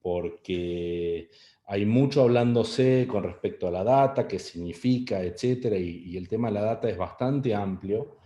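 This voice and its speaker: A man's voice: fairly low pitch, measured pace, warm tone, echoey room. A middle-aged man with an Argentine Spanish accent giving an online webinar talk, hesitant, with many fillers and restarts. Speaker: webinar speaker. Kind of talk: online presentation.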